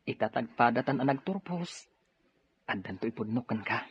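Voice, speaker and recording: A man's voice: medium pitch, expressive, moderate pace, husky. A young man with an Ilocano Filipino accent acting a grateful, good-natured eldest son in an audio drama. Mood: fond. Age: young adult. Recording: dull lo-fi audio with music.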